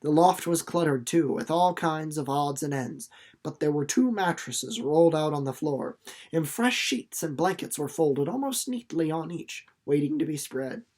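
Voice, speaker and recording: A narrator reading a children's novel aloud in an expressive, deliberately phrased storytelling way. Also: mid-range voice. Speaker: audiobook reader.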